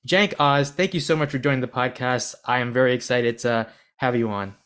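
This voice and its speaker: A man's voice: mid-range voice, fairly quick, fairly bright tone, clean tone. Young American male podcast host, earnest and chatty with a mid-range voice. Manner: earnest, rambling, self-deprecating. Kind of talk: podcast interview.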